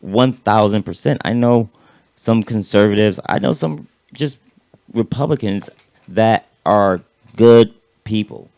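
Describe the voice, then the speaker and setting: A man with a somewhat low, raspy voice full of vocal fry holds forth in a casual podcast chat. He circles back on his words, repeating himself and swearing as he works through a point. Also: takes it slowly, a little mellow. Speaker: podcast co-host.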